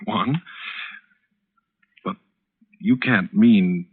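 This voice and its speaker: Through a thin, tinny vintage radio recording comes a husky, low-pitched gentleman hunter. He is polite and earnest. His delivery is hesitant and disbelieving, in classic radio drama style. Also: drawn-out words, heavily acted. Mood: disbelieving, uneasy. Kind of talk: 1940s radio drama acting.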